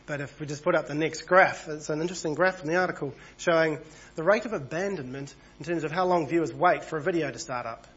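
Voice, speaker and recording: A man over thirty-five, husky from a cold, preaching an evening church sermon in a conversational way and reading passages aloud. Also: a little high, fast, a bit bright, highs cut off. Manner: conversational, reads passages aloud, small asides.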